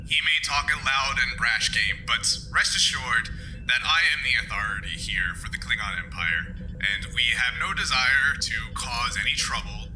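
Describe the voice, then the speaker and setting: A man voicing an older Klingon administrator in a tabletop game, courteous and authoritative, speaking in a formal, measured, diplomatic way. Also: mid-range voice, pushed and bright, low-quality recording. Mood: courteous, assured. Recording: music playing behind the voice.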